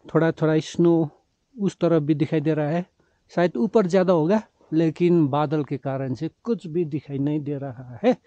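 A man's voice: a little high, moderate pace, dark tone, no room sound. A man in his forties giving casual trek-vlog narration outdoors into a handheld camera, mixing in English words. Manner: mixes English words and phrases into speech. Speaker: travel vlogger. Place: outdoors on mountain roads. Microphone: camera held at arm's length. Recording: slightly dull, muffled camera audio.

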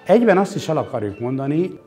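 A man's voice: on the high side, very animated, a little mellow. Reflective older man over background music. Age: 60s. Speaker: exhibition curator interviewed. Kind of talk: reflective interview explanation. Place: indoor exhibition gallery. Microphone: lapel mic.

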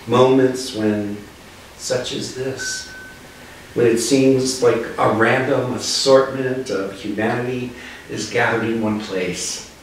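A minister in his sixties giving a reflective sermon in a measured, solemn, deliberate way. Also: medium pitch, steady tone. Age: 60s. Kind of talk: reflective sermon, read from notes. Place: living room with small seated group. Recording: echoey living room, distant mic, faint noise.

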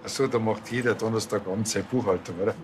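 A man's voice: lowish voice, steady tone, fast, noisy background, natural voice. An actor playing a sly, cheeky small-town policeman with a teasing, colloquial way of talking.

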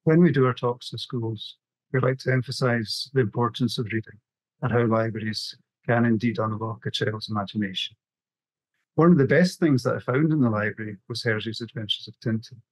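A man's voice: mid-range voice, fairly even intonation, brisk, no room sound, poor audio. A middle-aged man with a Scottish accent, presenting a prepared webinar talk over a video call. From west coast of Scotland. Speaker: graphic novelist, webinar presenter.

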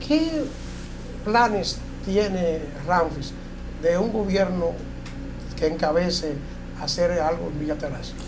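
A man's voice: sits high, slow, long pauses, noisy background. An older man, hosting a TV interview and asking questions in a small studio. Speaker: interview host.

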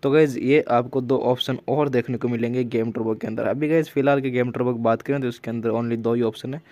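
A man's voice: medium pitch, steady tone, a little fast, a little mellow, no room sound. A man with an Indian accent hosting a casual tech news voice-over, often addressing viewers as friends. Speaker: tech channel host.

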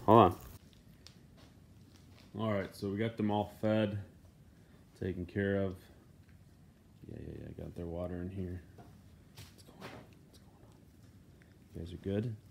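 A man's voice: lowish voice, steady tone, a little slow, creaky, long pauses, darkish tone. A man in his thirties casually narrating his farm vlog, rambling and correcting himself as he goes. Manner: easygoing, rambling, self-correcting. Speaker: farmer vlogger. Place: in a truck cab and a barn.

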